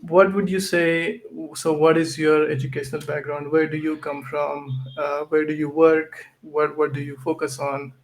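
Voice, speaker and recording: Tech podcast host guy, kinda gravelly, a bit high voice, keeps saying right?. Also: flat delivery, moderate pace, few pauses, slight background noise. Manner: tags sentences with "right?". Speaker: podcast host and event organizer. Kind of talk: casual tech chat.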